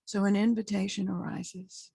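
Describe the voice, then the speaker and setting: A woman leading a guided meditation as a spiritual teacher, speaking in short, measured phrases with long pauses and a husky, gravelly voice. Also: medium pitch, little pitch movement, mellow, dry recording, rough recording.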